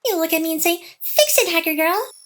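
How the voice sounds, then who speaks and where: A woman playing a high-voiced, teasing AI hacker girl. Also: lively intonation, brisk, a bit bright. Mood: teasing, feigned indignation. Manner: teasing, mock-aloof, sighing, addressing the listener directly. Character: playful, teasing female AI hacker girl, secretly smitten and protective. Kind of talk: ASMR roleplay, intimate one-sided scripted drama.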